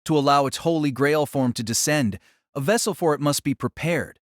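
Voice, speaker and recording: Guy reading a fantasy novel dramatically, slightly high voice, very dry studio sound. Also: moderate pace, dry recording. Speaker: narrator. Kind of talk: dramatic scripted light novel narration.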